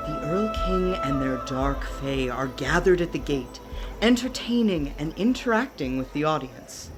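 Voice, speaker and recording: A woman's voice: deep voice, lively intonation, measured pace, over loud music. A woman reading scripted narration and cast credits as the narrator of a radio play.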